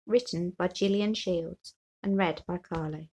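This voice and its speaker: A woman reading a children's picture book aloud as an expressive storyteller. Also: fairly low pitch, measured pace. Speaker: story reader.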